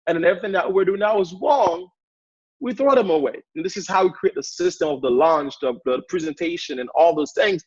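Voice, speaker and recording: A man in his twenties with a Haitian accent, giving an energetic motivational talk as a guest speaker on a sales training call. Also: high voice, a little fast, gravelly, breathy edge, no room sound, lo-fi sound. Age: 20s. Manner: hyped up, energetic, repetitive.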